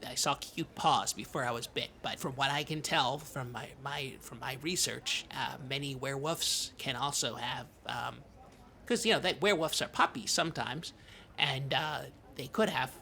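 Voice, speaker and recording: A podcast host chatting in an improvised comedy, halting and stammering like a nervous, gullible, childlike character. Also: mid-range voice, gravelly, bright, forceful tone.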